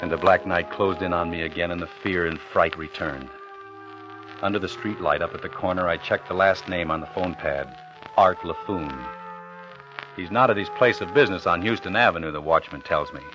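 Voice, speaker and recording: Casting: male actor for smooth-talking hard-boiled man, bass-leaning, guarded, vintage radio noir with music underneath. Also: normal speed, close to an everyday voice. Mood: brooding. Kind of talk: 1950s radio drama acting with noir narration. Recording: dull old radio recording, music underneath.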